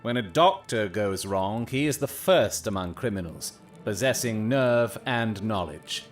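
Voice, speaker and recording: An actor playing a keen, commanding gentleman detective in an audio drama, speaking in deliberate, formal, dramatic phrases. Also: fairly low pitch, expressive, faint music behind. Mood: grave.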